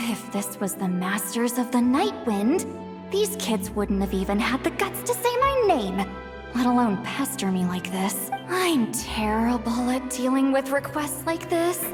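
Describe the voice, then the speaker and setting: A woman's voice: a little high, very animated, measured pace, over music. A woman voicing a prim, easily flustered noble lady in a video game, who sighs and protests fussily. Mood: exasperated. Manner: exasperated sighs, fussy and defensive. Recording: game score playing behind.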